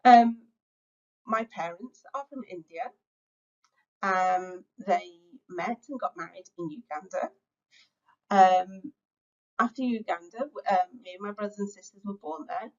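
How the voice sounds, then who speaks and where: A woman's voice: mid-range voice, measured pace, long pauses, muffled recording. Middle-aged woman giving a talk. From England. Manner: reflective, conversational, frequent "um" fillers. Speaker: teacher-training speaker. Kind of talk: webinar lecture.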